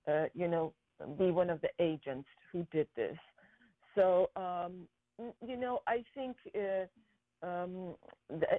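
A woman whose first language is Persian, a guest talking earnestly about her charity work, with many pauses and fillers. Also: fairly low pitch, no echo. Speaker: guest, school founder. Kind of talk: interview conversation. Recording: tinny phone line.

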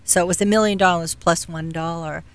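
A middle-aged woman speaking as an interview guest, explaining things in a measured way and pausing to search for words. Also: lowish voice, fairly even intonation, clean tone. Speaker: guest, philanthropy executive. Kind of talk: explanatory radio interview talk.

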